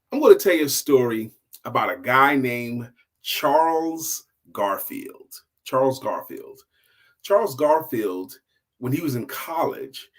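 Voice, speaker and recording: Male storyteller, middle-aged. Conversational and emphatic, playing to listeners, acting out bits of dialogue. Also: medium pitch. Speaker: storyteller and sales coach. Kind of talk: casual storytelling to an audience.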